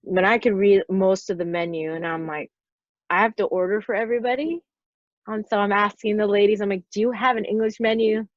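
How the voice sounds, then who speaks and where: A woman's voice: mid-range voice, fairly even intonation, fairly quick, no echo. A woman in her forties, a guest on a podcast, chatting casually and rambling through her stories with plenty of "like" fillers. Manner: rambling storyteller, lots of "like" fillers. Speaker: podcast guest, stunt performer. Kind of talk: casual interview chat. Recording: dull, dry lo-fi sound.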